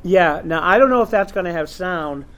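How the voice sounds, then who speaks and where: A man's voice: high voice, animated, normal speed, fairly bright tone, slight background noise. A man hosting a convention panel, talking casually and rambling with lots of fillers. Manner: rambling, chatty, full of fillers. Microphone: recorder in the room.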